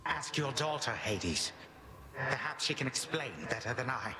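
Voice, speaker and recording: A defeated old titan grandfather voiced by a male actor speaks with archaic gravity and weary pride. His mid-pitched voice rings through a long, echoing reverb over a noisy background, as in a dramatic game cutscene. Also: measured pace, natural voice. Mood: grave, commanding. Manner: solemn, archaic, formal phrasing. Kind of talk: dramatic video game voice acting.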